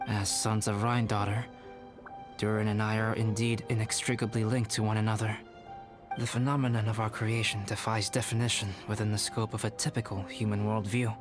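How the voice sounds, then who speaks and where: Calm, formal young scholarly alchemist played by a man. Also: on the low side, close to an everyday voice. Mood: thoughtful. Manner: measured, formal, contemplative. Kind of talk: scripted video game character acting. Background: music.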